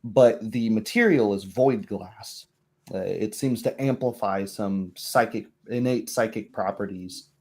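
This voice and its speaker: A man acts as game master for a tabletop roleplay. His voice is mellow and a little low. He narrates haltingly, searching for his words at a very unhurried pace.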